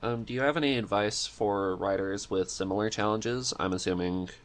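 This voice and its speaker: A man's voice: on the low side, normal speed, slight background noise. A man hosting a podcast in a casual, conversational interview style. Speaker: podcast host.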